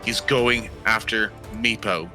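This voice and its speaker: A man's voice: on the low side, fairly even intonation, slow, pushed and bright, faint music behind, heavily acted. A man playing a grumbling, protective male adventurer in a tabletop roleplaying game. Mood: exasperated urgency.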